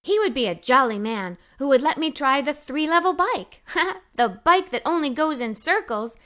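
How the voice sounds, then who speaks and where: Woman doing a kids read aloud, bright n really bouncy, super keen girly narrator. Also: high-pitched, normal speed. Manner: animated, enthusiastic. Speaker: storybook narrator. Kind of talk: expressive children's read-aloud, narrating in character as an adventurous young girl.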